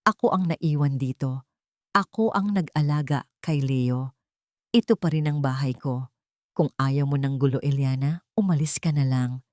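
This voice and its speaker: A woman's voice: extremely low pitch, moderate pace, a little mellow, no echo, clean studio sound. A woman voicing a callous, defiant cheating husband who shifts blame in a dramatic story. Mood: cold, dismissive. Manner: defiant, blaming. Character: cold, angry cheating husband, defensive and callous.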